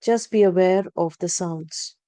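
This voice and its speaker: Woman guiding meditation, low mellow voice. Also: no room sound. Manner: calm, unhurried, gently instructing with long pauses. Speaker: yoga nidra guide. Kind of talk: guided meditation narration.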